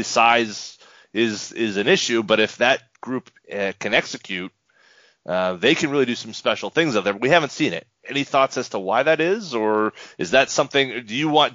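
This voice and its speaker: A man hosting a casual sports podcast, asking long, rambling questions in a halting way. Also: mid-range voice, sharp tone, no room sound, muffled recording. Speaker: podcast host. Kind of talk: casual sports talk interview.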